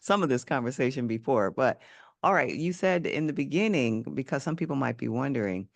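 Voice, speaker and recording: A man's voice: mid-range voice, expressive, fairly quick, vocal fry, no room sound. A man hosting a podcast, asking curious follow-up questions in an easy conversational way. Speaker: podcast host. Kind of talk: conversational interview.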